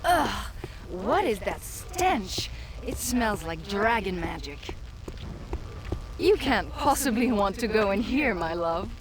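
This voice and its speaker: An actress voicing a seductive, scornful demoness in a video game, with a sultry, mocking delivery. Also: female voice, medium pitch, big pitch swings, slow pace, noisy background. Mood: disdainful. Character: seductive, scornful demoness devoted to her master.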